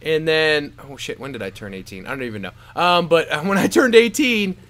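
A man's voice: fairly high pitch, expressive, fairly quick, a bit bright. A man in his thirties co-hosting a comedy podcast, rambling and swearing freely in casual banter, laughing often. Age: 30s. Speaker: podcast co-host.

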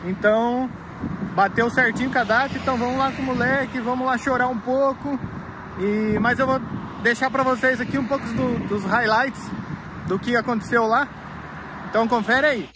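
A man's voice: very high-pitched, fairly even intonation, moderate pace, background noise. A man in his thirties from Brazil, chatting casually to viewers while filming himself on a phone outdoors at a motocross track. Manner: chatty, addresses viewers directly. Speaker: vlogger. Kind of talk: casual walk-and-talk vlog. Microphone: phone at arm's length.

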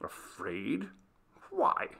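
Man playing storybook gentleman scholar, brusque, impatient, formal, very lively intonation, gravelly creak. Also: lowish voice, very slow, exaggerated voice. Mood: brusque, reassuring.

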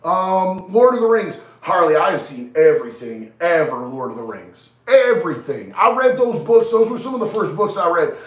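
A man's voice: high-pitched, very animated, normal speed, few pauses, roomy sound, far from the mic. A man in his thirties with a stuffy, nasal head cold, hosting a casual livestream and reading out viewers' chat in a laid-back, slangy way. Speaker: livestream host. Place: small indoor studio with neon lights.

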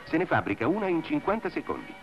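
A man's voice: fairly high pitch, huge pitch swings, fast, over music. A man reading stately scripted narration for an old industrial documentary. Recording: dull vintage film soundtrack.

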